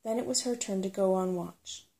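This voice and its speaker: A woman reading a story aloud as a narrator in a soothing, measured ASMR style. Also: mid-range voice, gravelly, dark tone.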